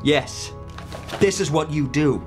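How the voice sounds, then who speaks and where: An actor playing a troubled young shop worker in an audio drama, terse, edgy and defensive. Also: male voice, a little high, slow pace. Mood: edgy, paranoid. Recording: clear music under the voice.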